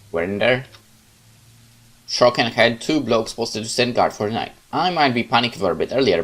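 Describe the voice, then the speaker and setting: A man reading a visual novel aloud as a let's player, in expressive, dramatic first-person narration with casual asides. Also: on the low side, slight background noise.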